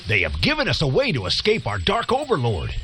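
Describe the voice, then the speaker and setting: An actor playing a gullible, earthy peasant in a comic video game, plain-spoken and downtrodden. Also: male voice, mid-range voice, huge pitch swings, brisk, pushed and bright. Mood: awed hope. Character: grumbling, gullible peasant fleeing a dark overlord. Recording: clear ambient noise behind.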